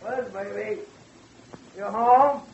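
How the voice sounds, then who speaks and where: A muffled old radio recording with faint music behind a groggy husband. His voice is high and slow, affectionate and searching, in 1940s drama style. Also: gravelly, a little mellow, heavily acted.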